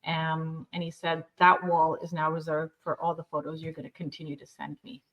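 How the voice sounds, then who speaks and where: A woman from Uganda speaking on a nonprofit panel, telling a story in an earnest, lively way. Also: low voice, moderate pace. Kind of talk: panel discussion. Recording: dull lo-fi sound.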